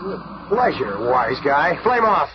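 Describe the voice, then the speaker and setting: A man's voice: fairly high pitch, sing-song, takes it slowly. An actor playing a brash, hot-headed young male hero in a 1970s radio drama, on a dull hissy recording. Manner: brash, whiny.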